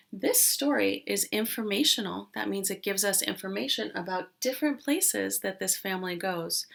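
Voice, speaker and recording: A woman's voice: fairly high pitch, gravelly. A woman speaking as a kindergarten teacher in a read-aloud lesson, explaining things simply to young children and often asking them questions.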